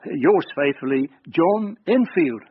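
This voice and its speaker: An actor playing a man reading out his own formal letter in a radio drama, deliberately. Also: a little high, expressive, husky, heavily acted. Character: formal male letter writer reading aloud. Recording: thin phone-like radio recording.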